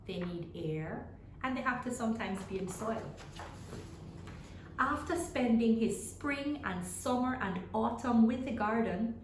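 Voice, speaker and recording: A woman reading a children's story aloud expressively, pausing to put simple questions to her young listeners. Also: mid-range voice, reverberant, slight background noise, low-quality recording. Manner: asks simple, repeated questions as to small children.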